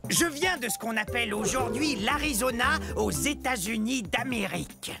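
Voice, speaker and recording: A woman voicing an excitable, showy meat-eating dinosaur in a children's educational cartoon, theatrically addressing the viewer. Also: a little high, normal speed. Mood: gleeful, showy. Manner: theatrical, exclaiming, talking to viewer. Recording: music behind the voice.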